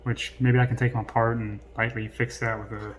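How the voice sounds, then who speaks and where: A man giving casual, unscripted unboxing commentary in a halting, hesitant way. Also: mid-range voice, level tone, lo-fi sound. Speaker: vlogger doing an unboxing.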